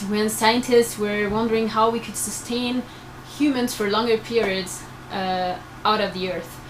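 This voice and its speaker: A woman in her twenties giving explanatory answers as a research crew member, speaking to students. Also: mid-range voice. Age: 20s. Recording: noisy room recording.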